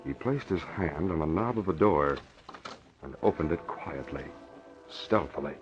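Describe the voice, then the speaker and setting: A man's voice: very deep voice, expressive, unhurried. A man narrating a scripted 1940s radio serial in a formal, dramatic style. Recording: muffled old radio recording, faint music.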